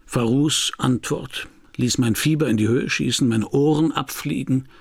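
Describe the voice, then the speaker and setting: A man's voice: mid-range voice, unhurried, creaky, husky, no room sound. An actor playing a hardboiled private detective who tells his own story in dry, laconic radio drama narration. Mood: dry, reflective. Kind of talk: first-person scripted radio drama narration. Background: music.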